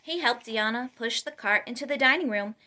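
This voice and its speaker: A woman reading a children's storybook aloud in an expressive, emphatic way. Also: a little high, brisk. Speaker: storytime reader.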